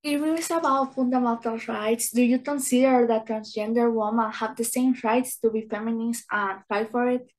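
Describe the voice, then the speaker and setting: A woman's voice: a little high, fairly even intonation, normal speed, few pauses, poor audio. A woman interviewer reading out prepared questions and introductions to a guest.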